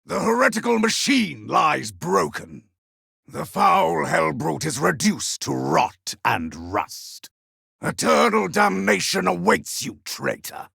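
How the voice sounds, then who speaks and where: A righteous armoured crusader from a video game, full of wrath. He declaims slowly and commandingly in a raspy, heavily gravelled voice. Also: on the high side, huge pitch swings, sharp tone, dry recording, clean studio sound, exaggerated voice. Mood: righteous scorn. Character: wrathful, righteous male space marine crusader. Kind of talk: video game battle barks.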